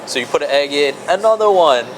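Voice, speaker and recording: A man's voice: a little high, lively intonation, fairly quick, a crowd in the background. A man hosting a casual, joking chat over dinner at a restaurant, laughing often. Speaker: restaurant owner and show host. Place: restaurant table. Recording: noisy restaurant background.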